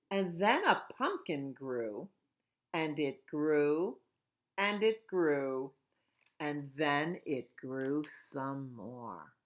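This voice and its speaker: A woman children's librarian reading a picture book aloud at storytime, slow and expressive. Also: sits low.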